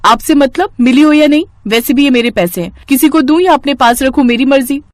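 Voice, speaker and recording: A woman's voice: sits high, a little fast, voice pushed up, lightly acted. A woman voicing a trusting, easily hurt younger sister, a college student, in an earnest, emotional manner for a story cartoon. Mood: indignant. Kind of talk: Hindi moral-story cartoon dialogue.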